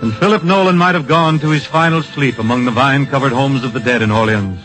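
An actor playing an earnest, solemn storyteller, narrating in poetic phrases with dramatic pauses in an old-time radio drama. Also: male voice, mid-range voice, fairly bright tone, natural voice, rough recording. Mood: earnest, reflective. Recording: vintage radio broadcast with music.

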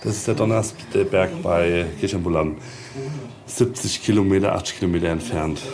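A man giving an informal guided tour, explaining step by step in halting phrases on location in an old castle. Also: deep voice, crowd noise. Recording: faint ambient noise on location.

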